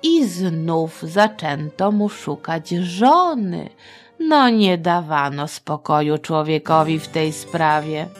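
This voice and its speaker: A middle-aged woman hosting a children's history podcast, telling a story in a playful, colloquial, expressive way. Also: deep voice, takes it slowly, soft background music. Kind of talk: informal scripted storytelling for children.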